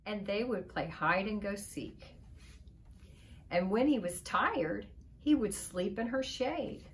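A woman's voice: medium pitch, lively intonation, slow, slight background noise. A woman reading a children's storybook aloud.

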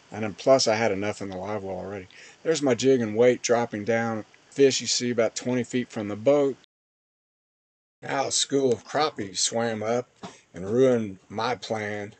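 Low-pitched man narrating fishing footage. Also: moderate pace, a little mellow. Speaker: fishing channel host. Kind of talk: casual voice-over commentary on fishing footage.

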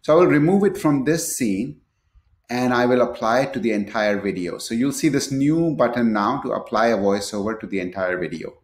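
A man walks through a software demo step by step. His voice sits at a middle pitch, and he talks a bit haltingly. The room around him is clearly echoey. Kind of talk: screen-share product tutorial, partly unscripted.

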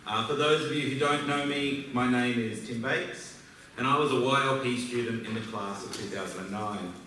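An Australian man in his thirties delivers a formal, measured address from a podium. His voice is bright and carries through a large, heavily echoing auditorium, picked up from the back of the hall with faint ambient noise. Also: medium pitch, far from the mic. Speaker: alumni speaker. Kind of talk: prepared speech at an event. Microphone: podium mic, filmed from far back in the hall. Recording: echoey hall recorded from afar.

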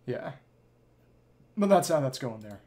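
A man's voice: mid-range voice, sing-song, measured pace, mellow, a little hiss or hum. A man giving casual livestream commentary on a game, thinking aloud in clipped fragments and reading bits of dialogue. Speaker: livestreamer, virtual avatar host.

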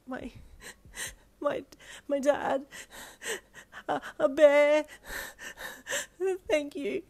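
A woman's voice: high voice, takes it slowly, no room sound, pitched above the performer's own voice. Woman acting a flustered, emotional young heroine. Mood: fearful, tearful. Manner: flustered, expressive. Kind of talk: acted story reading.

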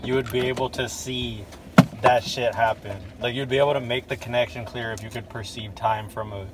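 A young man in casual, reflective conversation, speaking in loose, halting phrases. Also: mid-range voice, steady tone. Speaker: podcast speaker. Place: inside a car. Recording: noisy car interior, clear background hum.

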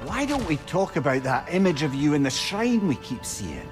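An actor playing a wry, chatty old talking head and loyal advisor with a Scottish accent in a video game. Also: male voice, a little high, big pitch swings, normal speed. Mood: earnest, persuasive. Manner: chatty, wry, earnest persuasion. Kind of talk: video game dramatic acting. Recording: game audio under music.

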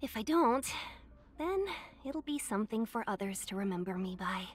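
A woman's voice: mid-range voice, expressive, moderate pace, natural voice. A woman voicing a young fox-eared merchant in a video game, silver-tongued, polite and playfully coy. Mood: wistful. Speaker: game character voice. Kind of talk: scripted video game character voice lines.

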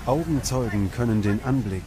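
A man narrating a scripted documentary in a measured, dramatic storytelling manner. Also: mid-range voice.

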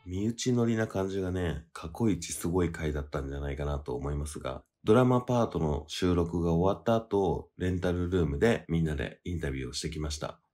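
A man's voice: low-pitched, lively intonation, fast talker, hardly any pauses, a little mellow. A man hosting a podcast, talking casually through announcements and explanations. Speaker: podcast host.

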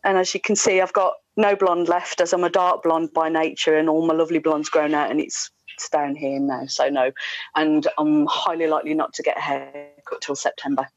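A middle-aged woman with a very low voice talks casually, like a radio presenter being interviewed. The sound is dry and a little dull, picked up through earphones on a video call. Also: little pitch movement, normal speed, no echo. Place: indoors at home.

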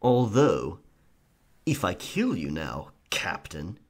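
A man's voice: sits low, sing-song, slow, full character voice. A man playing a cocky, sly pirate rogue in an audio roleplay, with theatrical, taunting and charming delivery. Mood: bold, bargaining. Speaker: ASMR roleplay performer. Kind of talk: pirate ASMR roleplay acting.

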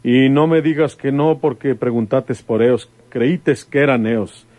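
A man's voice: medium pitch, a little slow, a little mellow, no echo, close to an everyday voice. An actor with a Mexican accent playing a stern, probing rural detective and father in a radio drama, with rustic, insistent speech. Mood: pressing, suspicious. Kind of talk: old-time radio drama acting.

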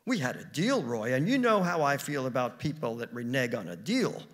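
A man reading a story, voicing a demanding, sharp-tongued wife with an emphatic, incredulous manner. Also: on the high side, big pitch swings, brisk, breathy edge, exaggerated voice. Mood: exasperated. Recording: reverberant hall with long echo.

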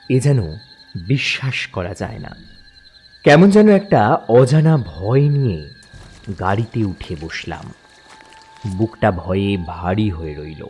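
A man narrating a horror story in the first person, dramatic and suspenseful, halting in tense moments. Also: medium pitch, a little mellow, slightly rough.

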